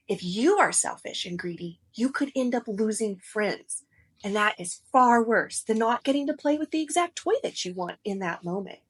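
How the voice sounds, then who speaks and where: A woman hosting a children's read-aloud show, speaking to kids in an expressive, instructive, scripted way. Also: fairly high pitch, husky.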